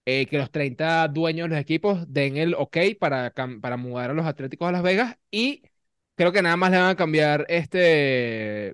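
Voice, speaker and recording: A man's voice: fairly high pitch, animated, moderate pace, a bit bright, clean tone, no echo, clean studio sound. A man with a Venezuelan accent co-hosting a podcast, chatting playfully and teasingly, often repeating himself. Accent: Venezuelan Spanish. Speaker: podcast co-host. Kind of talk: casual chat.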